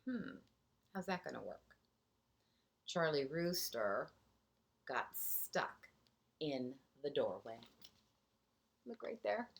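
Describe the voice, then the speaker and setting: Slow, expressive woman reading a storybook. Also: low-pitched, vocal fry. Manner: gentle teacherly, pausing to ask the listeners questions. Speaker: teacher reading a picture book to young children. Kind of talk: storybook read-aloud with chatty asides to children.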